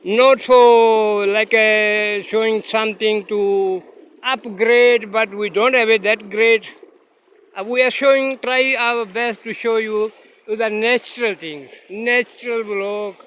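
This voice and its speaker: A man whose first language is Urdu, vlogging outdoors by a mountain stream in halting, simple English with many fillers, filmed on a phone without a separate mic. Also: sits high, fairly even intonation, fairly bright tone, clear, resonant tone, low-quality recording. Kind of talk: casual vlog narration. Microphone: phone camera, no separate mic.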